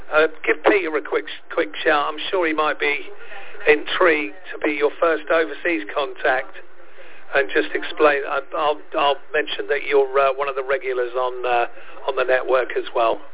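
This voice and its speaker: Croaky man over a tinny radio link. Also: on the high side, level tone, moderate pace. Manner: friendly, hesitant with fillers. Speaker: radio net host. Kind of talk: casual radio chat.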